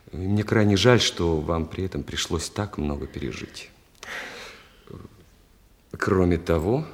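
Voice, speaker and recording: An actor playing an insinuating, mock-polite playwright in a radio play, with hesitant hums and repeated phrases. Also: male voice, sits low, a little mellow. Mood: insinuating, sly. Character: insinuating, oily male playwright peddling his play. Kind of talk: Russian radio play acting. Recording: echoey hall, long reverb.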